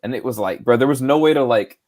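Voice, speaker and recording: A young man co-hosting a podcast, telling a casual, slangy story with lots of swearing, his voice going hoarse. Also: mid-range voice, fast talker, darkish tone. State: losing his voice. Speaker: podcast co-host. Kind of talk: casual chat.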